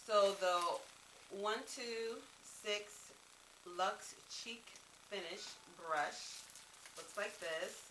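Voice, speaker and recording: A woman's voice: mid-range voice, very slow, roomy sound, recorded from a distance, background noise. A woman reviewing makeup brushes in a casual, rambling way, with a slightly congested voice from a cold and many ums and restarts. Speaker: beauty vlogger.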